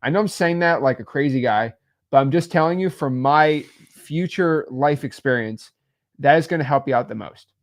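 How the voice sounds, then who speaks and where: A man's voice: fairly high pitch, normal speed. A young man co-hosting a podcast, giving casual business advice in a rambling way with many fillers. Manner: rambling, many fillers, animated swings in loudness. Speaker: podcast co-host.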